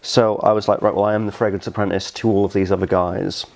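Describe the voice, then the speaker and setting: A man's voice: fairly low pitch, little pitch movement, fast talker, gravelly, darkish tone. A young man with an English accent, speaking casually as a guest in an interview. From southern Scotland. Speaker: interview guest, fragrance reviewer.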